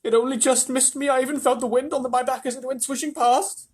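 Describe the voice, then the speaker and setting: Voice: high voice, steady tone, fast, breathy edge, voice pushed up. A storyteller voicing a timid earthworm who whines and pleads in dread, as in a children's storybook. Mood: wailing. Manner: wailing, pleading.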